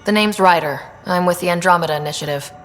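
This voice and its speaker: A woman's voice: fairly low pitch, fast talker, natural voice. An actress playing a confident, friendly young woman space explorer in a video game, earnest and easygoing. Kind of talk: video game dialogue acting. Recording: long reverberant space, faint music.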